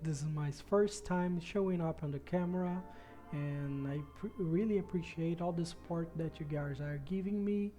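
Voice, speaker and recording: A man's voice: a little high, normal speed, mellow, soft background music. A man from Brazil with a Brazilian accent and Portuguese as his first language, earnestly explaining a game strategy in a casual tutorial and repeating words for emphasis. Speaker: gaming guide creator.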